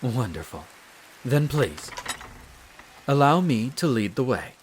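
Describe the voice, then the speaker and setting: A man's voice: medium pitch, big pitch swings, slow pace, slight background noise, low-quality recording. A man playing a courteous, devoted head servant of a vampire castle in a roleplay audio drama, speaking with formal, polite diction. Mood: earnest, reassuring. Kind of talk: scripted roleplay audio drama, addressing the listener.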